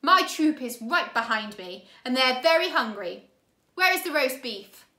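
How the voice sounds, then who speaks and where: Storybook villain: woman doing a scratchy, bullying count, loud, echoey room. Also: lively intonation, unhurried, full character voice. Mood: menacing. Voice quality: scratchy, booming. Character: menacing scratchy-voiced male villain count.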